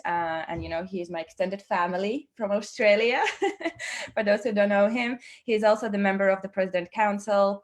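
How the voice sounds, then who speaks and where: A woman from Latvia hosting an online team webinar, upbeat and chatty, with many fillers and restarts, speaking over a video call. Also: medium pitch. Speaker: webinar host. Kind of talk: online team meeting presentation.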